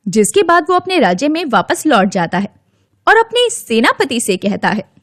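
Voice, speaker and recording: High, animated woman storyteller. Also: moderate pace, dry recording, clean studio sound. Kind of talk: expressive scripted children's story narration.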